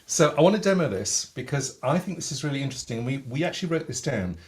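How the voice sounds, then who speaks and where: A middle-aged man, a voice coach, demonstrating singing technique in a relaxed, demonstrative manner from a home studio. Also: mid-range voice, fast. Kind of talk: teaching demonstration with sung vowels. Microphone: studio microphone with headphones.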